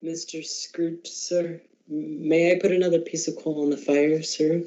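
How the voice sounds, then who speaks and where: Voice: mid-range voice, level tone, unhurried, warm tone, highs cut off, slight background noise, lightly acted. A performer playing a timid, deferential male clerk in a radio drama, meek and stammering.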